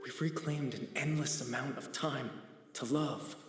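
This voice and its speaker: Slow, echoing solemn mystical narrator. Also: male voice, medium pitch. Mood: solemn, tender. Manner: solemn, measured, tender. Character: solemn male mystical narrator of a sorrowful tale. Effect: heavy reverb. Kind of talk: video game voice acting, poetic recitation. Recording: echoing long reverb, faint music.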